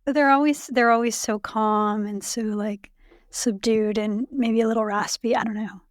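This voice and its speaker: Woman podcast host, raspy hoarse voice, fairly high, chatty filler-heavy talk, dry close sound. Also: steady tone, moderate pace, no echo. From Canada. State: heavily pregnant, voice going hoarse. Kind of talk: casual panel chat.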